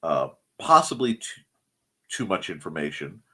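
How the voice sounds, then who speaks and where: A middle-aged man chatting casually as a show co-host, rambling, pausing to search for words and adding wry asides, his voice still recovering after he nearly lost it. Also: sits low, sing-song, sharp tone. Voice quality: husky. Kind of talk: casual chat.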